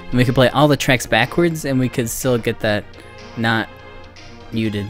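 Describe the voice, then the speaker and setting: A man's voice: medium pitch, normal speed. A young man chatting casually on a livestream, rambling and self-mocking in a distracted way. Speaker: video game livestreamer. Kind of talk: casual livestream chat to viewers. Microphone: microphone on a boom arm. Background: music.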